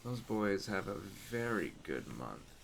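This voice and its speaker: A man playing a calm, commanding aging mafia boss in an ASMR roleplay, measured, streetwise and gravelly. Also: on the low side, heavily acted. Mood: businesslike, measured. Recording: clear ambient storm noise behind. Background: storm ambience.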